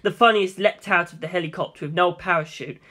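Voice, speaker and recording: A man narrates scripted fiction in the first person. His voice is rather high for a man. It has a bright, forceful tone suited to an audiobook. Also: a little fast.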